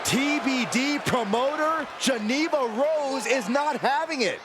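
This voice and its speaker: A man playing an excitable, earnest wrestling commentator in a video game, calling the action in a dramatic shout. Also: very high voice, measured pace, noisy background. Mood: alarmed. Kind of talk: live sports commentary. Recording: loud crowd roar behind commentary.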